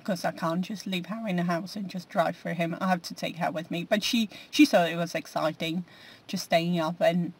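A woman's voice: fairly low pitch, steady tone, normal speed. Woman in her forties, casual vlog chat, rambling, many ums, recorded in a car. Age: 40s. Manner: rambling, many fillers and restarts. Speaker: vlogger. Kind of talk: casual food diary chat. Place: inside a parked car.

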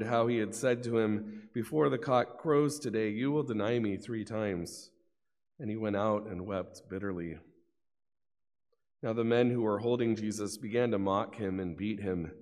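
A man's voice: fairly low pitch, darkish tone. A middle-aged man solemnly reading scripture as narrator at a lectern. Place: large church, at lectern. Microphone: lectern gooseneck microphone. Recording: long church reverb.

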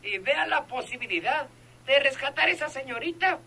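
A man's voice: very high voice, lively intonation, moderate pace, gravelly, crowd noise, full character voice. A man playing an officious, commanding town mayor on a call in an old radio drama. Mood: urgent, commanding. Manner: hesitant openers, officious.

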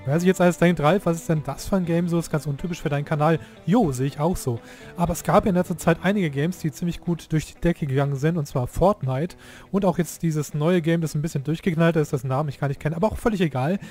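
A hoarse man giving casual, excitable commentary while playing a game, often exclaiming and swearing at what happens. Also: a little high, quick pace, soft background music. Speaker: let's play youtuber.

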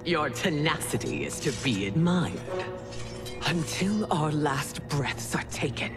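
A woman's voice: sits low, lively intonation, takes it slowly, music in the background. A woman playing a stately, formal warrior empress in a video game. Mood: regal menace. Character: haughty, regal warrior empress. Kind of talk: dramatic video game acting. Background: loud cinematic game score.

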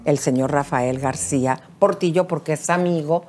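A woman's voice: sits low, moderate pace, a little mellow, soft background music. A middle-aged woman with a Mexican accent, co-hosting a celebrity gossip show from a TV studio, talking straight to viewers in a chatty way with fillers. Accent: Mexican Spanish. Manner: conversational, addresses viewers as comadres, fillers eh and este. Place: bright TV studio set.

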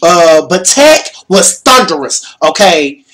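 Hyped young guy on a webcam, bit hoarse, cussing and repeating himself. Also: fairly high pitch, slow. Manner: animated, swears a lot, repeats himself. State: slightly hoarse. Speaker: vlogger. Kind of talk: excited concert reaction vlog. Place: small bedroom.

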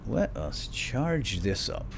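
A man's voice: mid-range voice, very animated, takes it slowly, vocal fry. A man giving lightly role-played commentary on a video game, muttering to himself with playful asides. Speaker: gamer commentator. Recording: game ambience noise behind voice. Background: video game cave ambience and effects.

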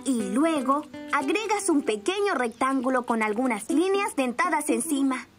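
A woman's voice: sits high, lively intonation, moderate pace. A woman with a Latin American Spanish accent voicing a cheerful, helpful young cartoon rabbit boy who gives simple drawing instructions. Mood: helpful, encouraging. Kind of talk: children's cartoon dub, step-by-step drawing instruction. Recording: music behind the voice.

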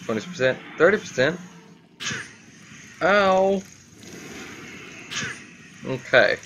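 A man's voice: a little high, expressive, pushed and bright, lo-fi sound, a little hiss or hum. A man giving casual, playful gameplay commentary, talking to himself as he plays. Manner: playful, talks to himself, drawn-out exclamations. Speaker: gaming video host.

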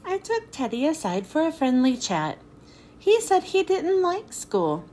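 A woman reading a children's picture book aloud in an expressive storytelling manner, then thanking her listeners. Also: high voice, a little slow, slight background noise.

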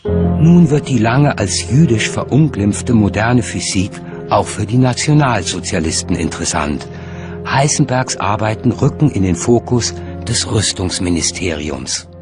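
A man's voice: on the low side, lively intonation, unhurried. A man reading scripted narration for a documentary. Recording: music bed under the voice. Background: music.

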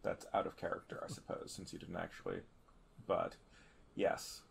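A man's voice: sits low, flat delivery, normal speed, creaky, husky. A man playing a tabletop game, casually speaking in character and describing his actions.

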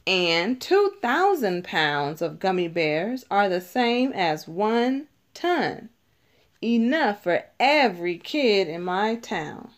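A woman reading a children's picture book aloud, deliberately, stressing key words as she teaches. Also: mid-range voice, very animated. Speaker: read-aloud narrator.

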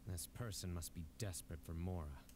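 An actor playing a stern, composed young male investigator in a video game, measured and serious. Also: low-pitched, background noise, lightly acted.

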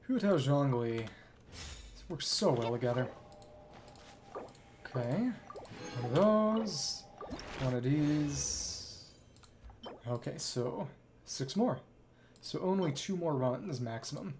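A man's voice: fairly high pitch, animated, takes it slowly, long pauses, a little mellow, a little hiss or hum. A young man streaming a video game, rambling casually and thinking aloud to himself as he plays. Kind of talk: casual gameplay commentary. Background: game music and effects.

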